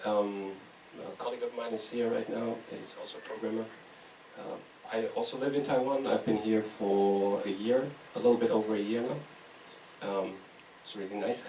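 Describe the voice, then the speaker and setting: Guy, lowish voice, casual hesitant panelist, roomy echo, mic kinda distant. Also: steady tone, moderate pace, mellow. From Germany. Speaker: game programmer, panelist. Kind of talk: casual self-introduction at a panel. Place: live talk event in a room. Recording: echoey room, distant mic, faint noise.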